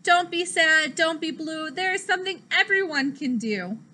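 Kind young cat for a picture book, read by a woman: high, bright, sing-song, encouraging. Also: moderate pace. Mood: consoling. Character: kind, upbeat young male cartoon cat.

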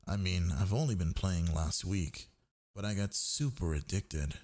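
Man acting low, husky, needy boyfriend. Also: expressive, a little mellow, exaggerated voice. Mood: relaxed, reassuring. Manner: coaxing, murmuring, drawn-out words. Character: sleepy, needy, teasing gamer boyfriend, young man. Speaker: audio roleplay performer. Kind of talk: intimate boyfriend audio roleplay.

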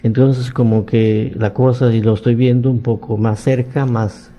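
A man in his nineties from Yucatán whose first language is Maya, speaking Spanish with a Yucatec accent as he slowly recounts his life story in a radio studio interview. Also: medium pitch, level tone, dark tone, lo-fi sound. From Yucatán, Mexico. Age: 90s.

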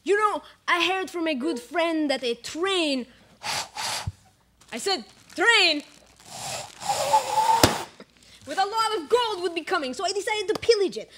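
Casting: female student as gleeful swaggering bandit, very high voice, animated radio-play delivery, noisy recording. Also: normal speed. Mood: gleeful boasting. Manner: theatrical, gleeful, gloating. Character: boastful cackling male train robber outlaw. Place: small studio, indoors. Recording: clearly noisy studio recording.

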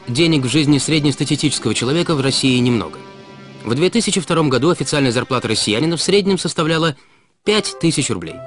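A man delivering scripted explainer narration in a didactic way, putting rhetorical questions straight to the listener. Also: mid-range voice. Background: background music.

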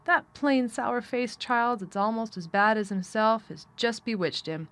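A woman's voice: fairly high pitch, unhurried, fairly bright tone, voice pushed up. A woman voicing a deferential, gossipy housekeeper in a storybook, talking emphatically and full of amazement. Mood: amazed. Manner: emphatic, talkative, respectful.

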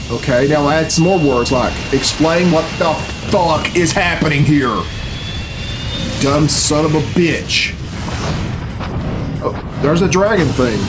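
A man in his thirties giving casual, profane and sardonic reaction commentary in a small room. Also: fairly high pitch. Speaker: reaction video host. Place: small bedroom, indoors. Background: video game cutscene music.

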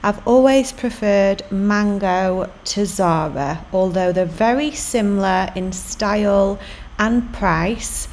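A woman, narrating a fashion vlog in a relaxed, chatty way with little fillers. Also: medium pitch, slow pace, slight background noise, rough recording. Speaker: fashion vlogger. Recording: voice over background music.

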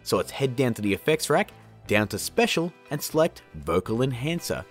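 A man in his thirties hosting a casual software tutorial in an informal, jokey and emphatic way. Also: medium pitch, big pitch swings, normal speed, faint music behind. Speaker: video tutorial host.

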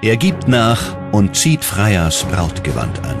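Deep-voiced male documentary narrator. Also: huge pitch swings, slow, creaky, loud music behind the voice. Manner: dramatic storytelling delivery.

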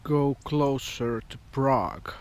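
A man's voice: medium pitch, a little hiss or hum. A man, vlogging outdoors from a camera held at arm's length, musing in a rambling, wry way. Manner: rambling, wry, unhurried musing with short fragments. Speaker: van life vlogger. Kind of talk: casual walking vlog. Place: outdoors in a quiet village park and street.

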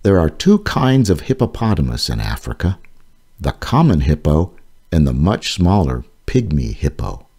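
A man reading his own children's nonfiction book aloud, slowly and carefully. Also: extremely low pitch, big pitch swings, dark tone. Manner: slow, careful, clearly paced reading. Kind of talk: read-aloud narration for children.

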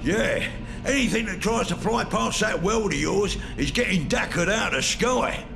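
Gravelly, crude ork henchman. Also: high voice, animated, a little slow, exaggerated voice. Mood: gruff, plotting. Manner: crude slangy speech. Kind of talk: video game character acting. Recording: voice under game music.

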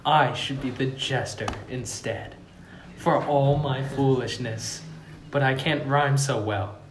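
A man's voice: medium pitch, takes it slowly, natural voice. A man voicing a foolish, emotional young king in a dramatic amateur table read. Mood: remorseful. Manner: overwrought, dramatic delivery with big swings. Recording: roomy echo, faint background noise.

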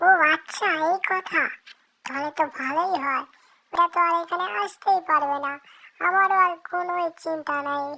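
A woman's voice: extremely high pitch, moderate pace, gravelly, sharp tone, exaggerated voice, poor audio. A woman voicing a small, worried mother tailorbird in a cartoon, fretful and complaining. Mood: uneasy, reassured. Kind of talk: cartoon dialogue.

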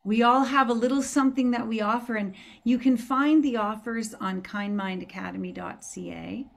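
A woman's voice: a little high, normal speed, gravelly. A woman hosting a casual online group discussion on a video call, as a course teacher.

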